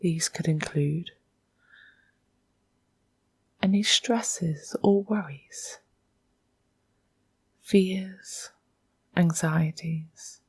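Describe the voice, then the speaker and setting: A woman leads a guided meditation in a lowish, extremely breathy voice. Her delivery is very slow and hushed, often close to a whisper. She sets out short, measured phrases with long pauses between them. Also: no room sound.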